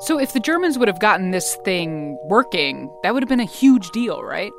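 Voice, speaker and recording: A woman's voice: mid-range voice, big pitch swings, normal speed, gravelly, over music. A woman hosting a podcast in a casual, playful, informal chat style. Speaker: podcast host.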